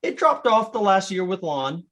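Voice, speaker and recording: An American man co-hosting a podcast, chatting about sports with deliberate, slightly grand phrasing. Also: high voice, very animated, fairly bright tone. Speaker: podcast co-host. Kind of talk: casual sports podcast chat.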